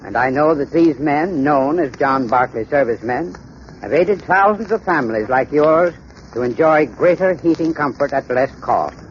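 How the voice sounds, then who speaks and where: A man's voice: on the high side, expressive, moderate pace. A man giving a formal, courteous, scripted advertising talk as an expert on 1930s radio. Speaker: sponsor's heating expert. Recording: dull, hissy 1930s radio recording.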